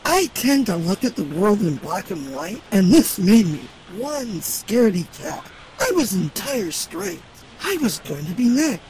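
A performer voicing a wry, self-assured female magic cat who narrates a fantasy audio drama in a droll, measured way. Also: mid-range voice, big pitch swings, a crowd in the background, low-quality recording. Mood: wry, dramatic.

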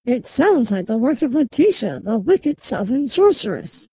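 Eccentric commanding sorceress, acted by a woman, high voice, swooping delivery, fantasy audio drama. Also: big pitch swings, moderate pace, vocal fry, mellow, husky, no echo, full character voice. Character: brisk, grand Northern Sorceress, commanding and eccentric.

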